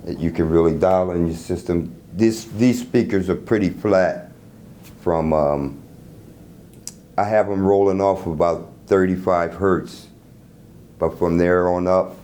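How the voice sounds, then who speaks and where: Middle-aged man, very deep voice, casual halting explanation, repeated words, noisy room. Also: dark tone. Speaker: loudspeaker creator being interviewed. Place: hotel room at an audio show.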